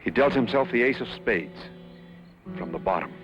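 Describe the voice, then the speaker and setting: A man's voice: mid-range voice, animated, moderate pace, lightly acted. A man playing a grave, formal card player in a Western drama, speaking solemnly. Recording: dull recording over music.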